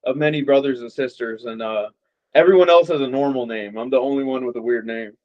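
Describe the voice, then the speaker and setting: A man's voice: mid-range voice, a little fast. A young man from Las Vegas, a boxer guest, talking casually and rambling with fillers. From Las Vegas, USA. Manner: relaxed, rambling with many fillers. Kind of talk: casual interview chat. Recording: dull lo-fi audio.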